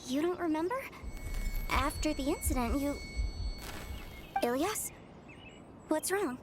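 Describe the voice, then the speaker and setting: An actress playing a breezy, caring young sister in a video game, casual and affectionately chiding. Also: female voice, high-pitched, lively intonation, slow, noisy background. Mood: caring, concerned. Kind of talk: dramatic game voice acting.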